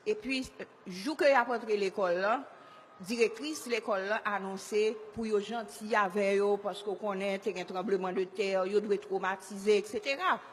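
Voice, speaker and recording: A woman's voice: medium pitch, normal speed, in a large hall, a little hiss or hum. A woman telling a personal story in a loose, rambling way. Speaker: interviewee.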